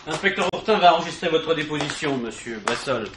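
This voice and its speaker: A man's voice: on the high side, animated, brisk, close to an everyday voice. A man acting a matter-of-fact, persistent police inspector who asks informal questions in a radio crime drama. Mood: casual, brisk. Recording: noisy vintage radio recording.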